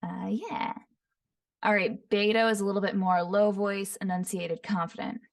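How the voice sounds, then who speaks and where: A woman voice actor teaching voice impressions, describing each voice in short phrases and often breaking into quick character demos. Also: mid-range voice, flat delivery, creaky. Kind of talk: voice impression tutorial. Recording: voice somewhat far from the mic.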